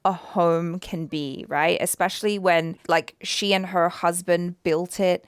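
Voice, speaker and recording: A smooth, slightly low-pitched woman chatting about a film with easy enthusiasm, leaning on "like" and "you know" as she goes. She grew up in Indonesia, and her voice sits close and dry on the mic. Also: steady tone, unhurried, dry recording. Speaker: movie reaction co-host. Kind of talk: casual chat. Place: Los Angeles home studio.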